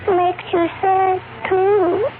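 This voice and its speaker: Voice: extremely high pitch, little pitch movement, very slow. A child speaking simply and earnestly in a radio spot, on a tinny, noisy recording. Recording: tinny radio band, loud noise.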